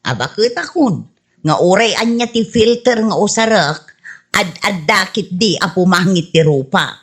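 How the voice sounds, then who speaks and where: A woman's voice: mid-range voice, expressive, unhurried, husky. A woman playing a vain wife with an Ilocano Filipino accent in a radio comedy drama. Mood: yielding. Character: vain wife fond of filtered selfies.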